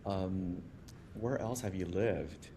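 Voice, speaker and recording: A man with a low voice hosts a casual interview. His delivery is informal and halting, with restarts as he puts his questions together. Clear background noise and rumble sit behind him. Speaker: podcast host, company co-founder.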